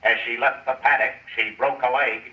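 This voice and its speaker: An actor playing a solemn, deadpan racetrack announcer in an old radio comedy, speaking formally and deliberately. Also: male voice, mid-range voice, natural voice. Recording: echoey, distant, muffled loudspeaker sound.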